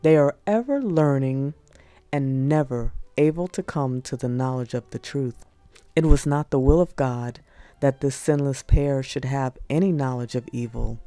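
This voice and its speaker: A woman narrating religious prose as an audiobook, in a measured, solemn read-aloud delivery. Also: a bass voice, warm tone, no room sound. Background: music.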